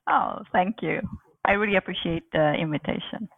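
Gravelly-voiced woman on a tinny line. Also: lowish voice, normal speed, no echo. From Turkey. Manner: hesitant, many ums and restarts. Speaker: author and professor, interview guest. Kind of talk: conversational interview answers.

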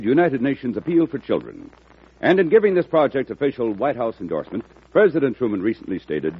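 A man's voice: mid-range voice, husky. A man reading a formal, earnest charity appeal as a radio announcer. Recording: tinny old radio broadcast.

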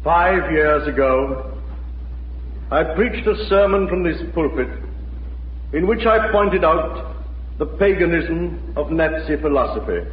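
An actor playing a solemn, grieving preacher, delivering a grave, deliberate sermon in a 1940s radio drama. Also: male voice, fairly high pitch, animated, slight background noise. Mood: grave, mournful. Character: solemn, grieving male preacher in a conquered country. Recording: echoing, narrow-band old radio recording, music behind.

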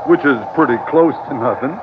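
A man playing a good-natured, wry first mate in an informal, animated way for an old-time radio adventure. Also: fairly high pitch, fairly quick, noisy background, lightly acted. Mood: wry, friendly. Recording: tinny vintage radio recording. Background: dramatic music.

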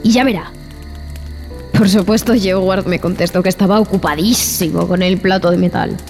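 A woman's voice: on the low side, fairly even intonation, normal speed, darkish tone, close to an everyday voice. Haunted woman zoologist played by an actress, reverberant horror drama. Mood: agitated, defiant, then haunted, fearful. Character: frightened, repulsed female expedition zoologist recounting horrors. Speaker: narrator and lead actress. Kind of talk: dramatic first-person horror audio drama narration. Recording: long reverb, music behind.

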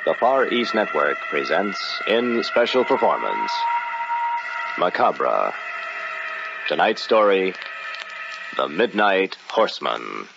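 Ominous vintage radio announcer, slow and dramatic over loud music. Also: male voice, low-pitched, sing-song, vocal fry, full character voice. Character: portentous male announcer of a horror radio show. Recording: dull old radio, loud music behind.

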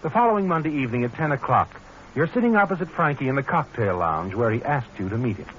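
Animated ominous radio suspense narrator. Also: male voice, mid-range voice, fast talker, slight background noise. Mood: ominous, knowing. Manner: dramatic, insinuating. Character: knowing, ominous male narrator addressing the schemer. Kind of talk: vintage radio suspense narration in second person. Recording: muffled old radio, music underneath.

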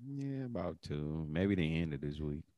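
A man co-hosting a podcast, joking loudly and crudely in casual banter. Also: a bass voice, big pitch swings, moderate pace, no room sound, dull audio. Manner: loud, laughing, crude joking. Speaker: podcast co-host.

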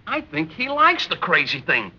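An actor playing an excitable, blunt, jeering merchant sailor in an old-time radio drama. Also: male voice, sits high, moderate pace, breathy edge, heavily acted. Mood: scornful. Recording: dull, faintly noisy vintage radio recording.

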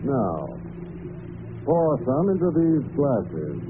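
An actor playing a mocking, commanding crime-fighter who speaks deliberately in an old radio drama. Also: male voice, medium pitch, big pitch swings, narrow, tinny audio. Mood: mocking menace. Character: mocking shadowy male crime-fighter with a sinister laugh. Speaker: hero detective. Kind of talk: 1940s radio acting. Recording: thin, noisy old radio broadcast.

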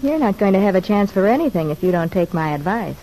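A woman playing a scheming, bossy prisoner in an old-time radio soap, husky and insistent. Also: medium pitch, animated, quick pace, mellow, low-quality recording. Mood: pushy coaxing. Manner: insistent, calculating.

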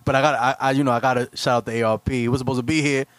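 A man co-hosting a casual podcast, cutting in with quick interjections and lots of agreeing "yeah"s. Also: mid-range voice, steady tone, a bit bright, no echo. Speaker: podcast co-host.

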